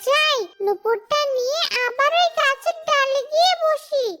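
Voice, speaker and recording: A woman's voice: very high-pitched, unhurried. A woman voicing a scheming, gloating female ghoul who menaces children in a cartoon horror story. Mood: menacing. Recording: music behind the voice.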